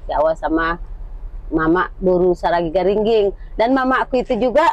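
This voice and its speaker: A woman's voice: fairly low pitch, steady tone, moderate pace, dry recording. An older woman from North Sumatra telling her family history in a casual chat with her daughter, in a meandering storytelling way. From North Sumatra, Indonesia. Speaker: interviewee, mother of the host. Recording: slightly dull lo-fi sound.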